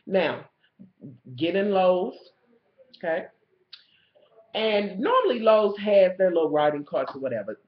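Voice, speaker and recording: A young woman with a raspy voice from a cold, ranting to viewers in blunt, swearing storytelling from a small room at home. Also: lowish voice, very animated, unhurried, long pauses. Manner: loud, blunt and swearing, talking to viewers directly. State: coming down with a cold. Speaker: vlogger. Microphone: webcam in front of the face. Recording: roomy, muffled webcam audio.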